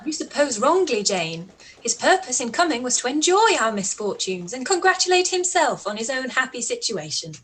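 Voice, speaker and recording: Defiant young gentlewoman for period radio drama, played by a woman: fairly high, husky, dryly sarcastic. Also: very animated, moderate pace, full character voice. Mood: tart. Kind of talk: live-read period radio play.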